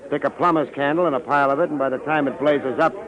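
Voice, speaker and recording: An actor playing a tough, world-weary private detective in a vintage radio drama, narrating and wisecracking in deadpan hard-boiled slang. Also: male voice, a little high, fast, a crowd in the background, close to an everyday voice. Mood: grim, sardonic. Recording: muffled old radio recording, music behind.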